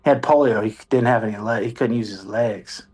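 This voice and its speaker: A man's voice: lowish voice, a little fast, darkish tone, husky. A man, a military veteran and songwriter, telling his story in a candid, rambling, self-deprecating way as an interview guest.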